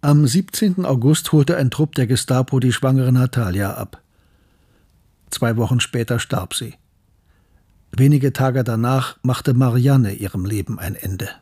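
An actor playing a grave scientist who narrates his memories of wartime tragedy in a sombre, measured way for a radio drama. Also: mid-range voice, warm tone, slightly rough.

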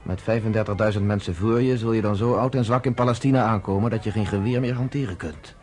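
A man's voice: lowish voice, fast talker, a little mellow, a little hiss or hum, natural voice. An actor playing a firm, shrewd, commanding male leader in a radio drama. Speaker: underground organizer.

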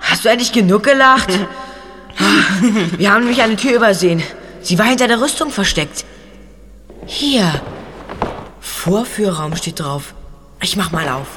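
A woman's voice: medium pitch, expressive, unhurried, long pauses, fairly bright tone, a little hiss or hum. A woman playing a curious, plucky teenage boy detective in a German audio drama. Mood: curious, bold.

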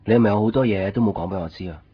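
A man acting an earnest, curious young boyfriend in a Hong Kong Cantonese radio drama, soft-spoken and hesitant. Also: fairly low pitch, racing, slightly rough. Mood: tentative, curious. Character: earnest, curious young boyfriend, unsure about his secretive girlfriend. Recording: muffled 1990s radio drama, background music.

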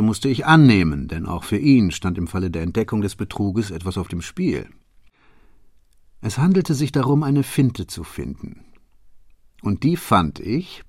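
Husky, methodical male detective. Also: lowish voice, a little mellow, no echo, clean studio sound. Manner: deliberate, formal, precise explaining. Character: shrewd, methodical male detective recounting his solved case. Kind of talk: German radio play monologue.

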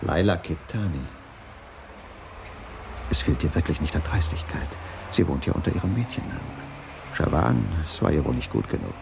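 An actor playing a brooding, jealous husband in a radio crime drama, musing bitterly to himself. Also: male voice, a bass voice, sing-song, moderate pace, creaky, long pauses, noisy background, exaggerated voice. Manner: bitter, pondering inner monologue. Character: brooding, jealous betrayed husband, a dining-car waiter.

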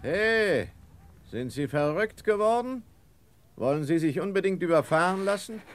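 An actor playing a reckless, secretive stranger in a radio drama, talking in an offhand way. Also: male voice, high-pitched, animated, a little slow, slight background noise. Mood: startled, scolding. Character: reckless, evasive stranger driving through fog.

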